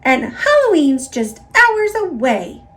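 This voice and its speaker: A woman's voice: high voice, big pitch swings, takes it slowly, fairly bright tone, voice pushed up, heavily acted. A woman voicing a bossy, frustrated witch for a children's storybook, complaining in an insistent singsong. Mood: exasperated, insistent. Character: bossy, frustrated female witch guarding her pumpkin.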